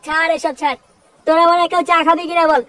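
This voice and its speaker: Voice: very high-pitched, level tone, fast. A performer voicing a bossy, coarse male village gang leader in a comic cartoon. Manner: bossy, coarse, swearing constantly. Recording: distant, very noisy cartoon soundtrack.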